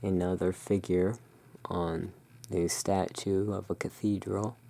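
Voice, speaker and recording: A hushed, soothing and unhurried voice in an ASMR roleplay, playing the owner of a gothic curiosity shop. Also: low-pitched, level tone, creaky. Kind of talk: ASMR roleplay, showing tarot cards.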